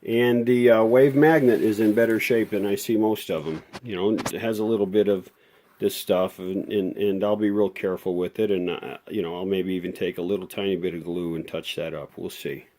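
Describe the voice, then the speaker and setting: A man's voice: sits low, fairly even intonation, normal speed, warm tone. A man talking casually and off the cuff as he gives a hands-on repair walkthrough, with folksy, rambling explanations. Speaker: radio repairer presenting a restoration video.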